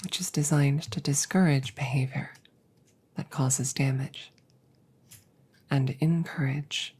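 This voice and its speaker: A woman voicing a gentle, stoic female android in an ASMR audio roleplay, whispering breathily with formal, precise, measured phrasing. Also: deep voice, steady tone, husky, heavily acted. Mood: measured, clinical.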